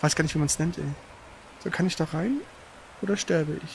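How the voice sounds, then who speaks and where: A man's voice: a little high, steady tone, fairly quick, vocal fry, a little hiss or hum, rough recording. A man giving casual, colloquial gaming commentary as he plays, exclaiming at the game. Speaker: gamer, let's play host.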